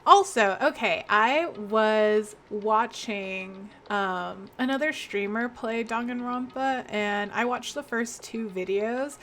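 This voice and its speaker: A woman's voice: a little high, a bit bright, slight background noise. A young woman streaming a game, chatty and laughing often, greeting viewers by name, her voice congested with a sore throat. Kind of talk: casual game stream chat.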